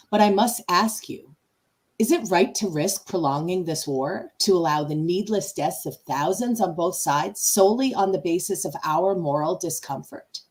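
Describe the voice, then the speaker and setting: A woman's voice: lowish voice, a little mellow, natural voice. A woman playing a calculating male starship officer in an amateur podcast radio play, with theatrical delivery. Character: male starship first officer, smooth, calculating military man.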